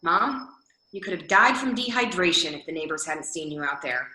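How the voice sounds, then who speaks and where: A woman's voice: low voice, animated, moderate pace, sharp tone. A woman acting a worried, practical adult daughter in a readers theater drama, pleading and insisting in exasperation. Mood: alarmed. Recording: roomy, echoey video call audio.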